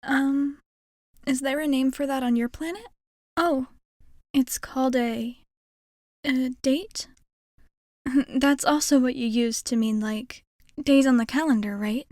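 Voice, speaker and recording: A woman's voice: sits high, slow, pauses often, a little mellow, no room sound, clean studio sound. A woman playing a shy, kind young alien girl in an intimate ASMR roleplay, speaking in a hesitant, earnest, rambling way. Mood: nervous, hopeful.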